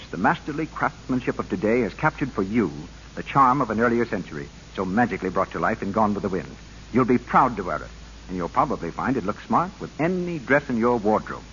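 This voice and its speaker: A man announcing on 1940s radio, delivering a polished, persuasive scripted sponsor pitch. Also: fairly bright tone. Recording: hissy, dull old radio broadcast.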